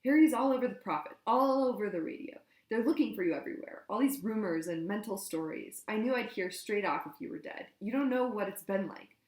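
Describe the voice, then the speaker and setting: A woman reading a storybook, voicing a defensive teenage boy who shouts back and talks fast. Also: medium pitch, lively intonation, roomy sound, close to an everyday voice.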